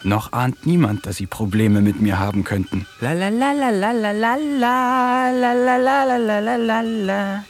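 A man's voice: mid-range voice, close to an everyday voice. An actor playing an eccentric young poet who narrates his diary in a dry, ironic manner in a radio play. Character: eccentric young male poet, wants to talk with animals.

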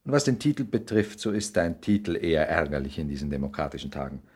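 A very low-voiced, worldly lord in a classic radio drama. He speaks with urbane polish and an arrogant, condescending cynicism. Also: fast, a little mellow, husky. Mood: smug, worldly. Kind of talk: 1960s German radio play acting.

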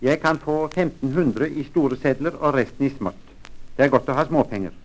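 A man reading scripted textbook dialogue politely and formally, clearly enunciated, on a vintage language record. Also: medium pitch, low-quality recording. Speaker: language course dialogue reader.

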